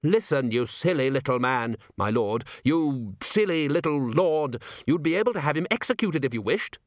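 A man voicing a scheming upper-class gentleman, formal, condescending and impatient, in a comic fantasy audiobook. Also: a little high, lively intonation, normal speed, dry recording, clean studio sound, pitched above the performer's own voice, lightly acted. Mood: exasperated. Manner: formal, wheedling, pompous.

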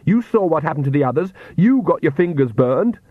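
A male reader puts on a furious young female vampire for a story. The voice is raised high and turns shrill and scornful, with big swings in pitch and a snarling, sharp-tongued edge. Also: sing-song, a little fast, no room sound, phone-quality sound.

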